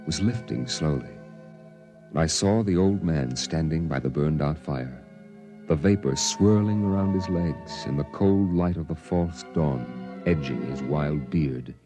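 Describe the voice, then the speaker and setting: Deep-voiced grave male narrator. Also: music in the background. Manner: grave, measured storytelling. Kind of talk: 1950s radio drama narration.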